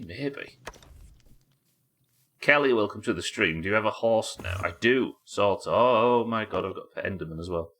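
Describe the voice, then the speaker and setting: Sniffly low-voiced man streaming a game. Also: fairly bright tone. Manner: chatty, thinks aloud, talks to viewers and his in-game pets. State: sniffly with a head cold. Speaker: livestreaming gamer. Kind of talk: casual live gameplay commentary.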